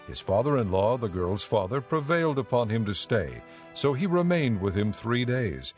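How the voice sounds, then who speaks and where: A male narrator reads scripture in a measured, solemn storytelling manner. His voice is fairly low, and the delivery is that of a scripted audiobook.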